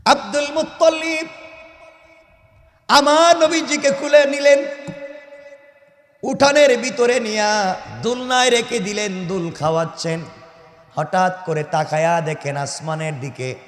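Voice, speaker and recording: A man delivers an impassioned sermon through a heavily echoing sound system. His voice sits high, swelling from lower phrases into loud exhortations as he tells religious stories and puts rhetorical questions to his listeners. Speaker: Islamic preacher. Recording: echoey hall PA, long reverb.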